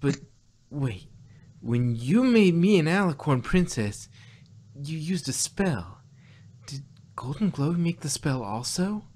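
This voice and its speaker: A man's voice: a little high, sing-song, slow, a little mellow, heavily acted. A man voicing an eager young female pony princess who asks questions in astonishment, in an amateur fan-fiction reading. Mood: bewildered. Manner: incredulous, questioning.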